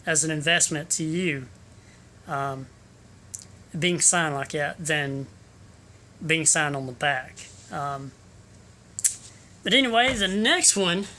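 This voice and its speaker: A man gives a rambling, hesitant sales pitch into his phone camera, with many ums and restarts, in a room with an overhead fan running. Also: sharp tone, slight background noise. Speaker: local photographer selling prints.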